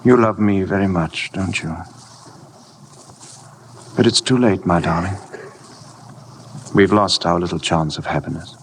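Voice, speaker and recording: Deep-voiced, brooding husband. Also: male voice. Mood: resigned. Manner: grave, measured, weary. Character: tormented, brooding husband, grave and fatalistic. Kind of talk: 1950s radio drama acting. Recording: noisy old 1950 radio broadcast.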